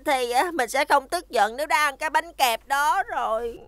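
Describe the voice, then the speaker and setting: A woman's voice: sits high, moderate pace, a bit bright, slight background noise. A woman voicing a feisty, cocky young squirrel commando in a cartoon dub, indignant and argumentative.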